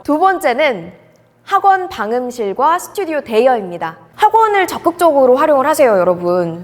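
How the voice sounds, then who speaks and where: A woman's voice: high voice, in a large hall. A woman broadcaster giving career advice straight to the viewers in a polite, earnest way. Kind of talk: direct-to-camera advice talk.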